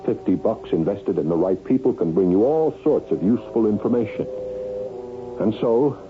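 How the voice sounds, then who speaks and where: An actor playing an anxious, desperate husband in a radio drama, with a dramatic, sometimes stammering delivery. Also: male voice, on the low side, moderate pace, noisy background, close to an everyday voice. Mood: grim, determined. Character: desperate, anxious husband recounting his own murder mystery. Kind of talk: 1970s radio drama acting with first-person narration. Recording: phone-like, band-limited old radio recording.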